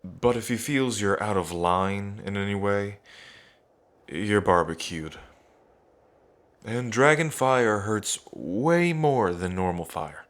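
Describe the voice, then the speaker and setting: A man's voice: lowish voice, animated, slow pace, fairly bright tone. A man playing a young dragon king in an ASMR roleplay, cocky, playful and affectionate, talking in a casual, teasing way straight to the listener. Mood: casual warning.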